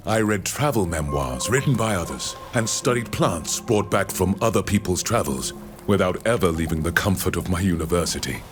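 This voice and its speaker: A low male voice plays an older, learned naturalist in a fantasy game. He is measured and courteous, reflective in temper. Music plays clearly underneath. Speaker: scholar from the continent. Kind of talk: scripted video game dialogue. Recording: music behind the voice.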